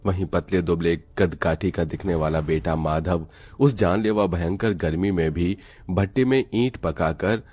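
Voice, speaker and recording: A man's voice: low voice, a little slow, darkish tone, soft background music. A man narrating a dramatic moral story in a scripted storytelling style.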